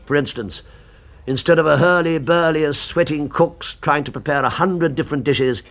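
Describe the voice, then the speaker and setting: An actor playing a fervent, food-obsessed gentleman in a 1970s British radio drama, with precise, florid, emphatic speech. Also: fairly high pitch, normal speed, natural voice. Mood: eager, assured.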